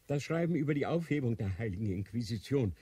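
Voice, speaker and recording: An actor playing an aged, ailing Grand Inquisitor in a radio drama, solemn and halting, declaiming with remorse and fervor that rises to outcries. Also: male voice, mid-range voice, lively intonation, warm tone, breathy edge, dry recording. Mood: firm, grave. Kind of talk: German radio drama acting.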